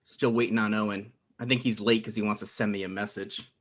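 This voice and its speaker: An actor playing an anxious, resentful man in his thirties, with halting, defensive delivery in a naturalistic comedy table read. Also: fairly low pitch, flat delivery, a bit bright. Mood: irritated.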